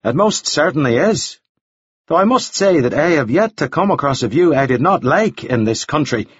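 A man voicing a genteel, talkative gentleman who speaks formally and politely, in a dull, dry recording. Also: a little high, expressive, moderate pace, breathy edge, no echo, pitched above the performer's own voice. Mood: cordial. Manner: formal, flowery, solemnly polite.

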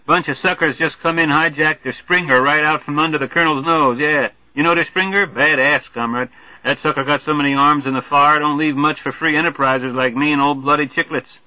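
A booming, jolly fat army major, put on by a male narrator, pitched well above the reader's own voice and pushed loud and hearty. The sound is thin and tinny like a phone line, and completely dry. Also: steady tone, a little fast, dry recording, close to an everyday voice. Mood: boisterous. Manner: boisterous, hearty laughter.

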